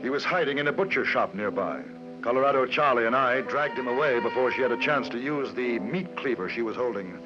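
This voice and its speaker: An urbane English gentleman reporter played by a male actor with a dry, measured delivery. His voice sits at a middle pitch. It sounds like 1950s radio drama, muffled and band-limited. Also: over music, close to an everyday voice. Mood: grave, measured. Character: urbane English newspaper correspondent roaming the Wild West.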